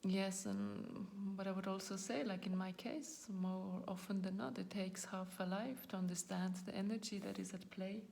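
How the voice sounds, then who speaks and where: Reflective woman in her forties, gravelly. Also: medium pitch, fairly even intonation, moderate pace, few pauses, a little mellow. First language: not English. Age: 40s. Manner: reflective, searching for words, often trails into a whisper. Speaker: YouTube channel host. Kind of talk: personal talk to camera.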